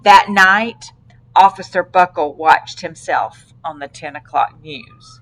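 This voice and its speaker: Slow, expressive woman reading a storybook. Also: on the low side, poor audio. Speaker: storybook reader. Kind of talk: expressive children's read-aloud narration.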